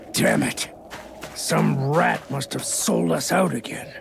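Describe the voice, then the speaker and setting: A man's voice: medium pitch, gravelly, noisy background, exaggerated voice. A man playing a bitter, frightened rebel villager in a video game. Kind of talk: English video game voice acting.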